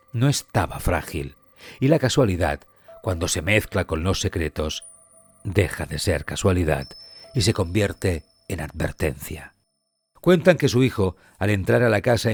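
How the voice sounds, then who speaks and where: Husky, low-voiced man narrating solemnly. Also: dry recording. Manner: solemn, dramatic, with deliberate pauses. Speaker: podcast narrator. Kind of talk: scripted true-crime mystery narration. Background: background music.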